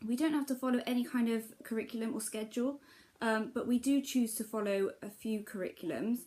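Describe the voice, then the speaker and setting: A woman's voice: fairly high pitch, brisk, a little mellow. A woman, a mother talking casually to camera about homeschooling, chatty and explanatory with frequent ums. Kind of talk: casual vlog talk to camera.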